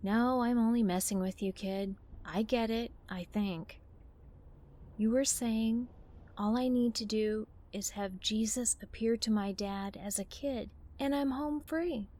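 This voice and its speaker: A young woman with a dry, sarcastic edge, talking slowly in a dark, mellow voice. There is a little gravel in her tone. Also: a little high. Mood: sardonic. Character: sardonic young woman troubled by family. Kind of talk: audio drama.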